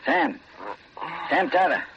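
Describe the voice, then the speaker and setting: A man's voice: a little high, slow. A man acting a sober, authoritative police chief in a 1940s radio drama, terse and grave. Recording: muffled, faintly noisy vintage radio.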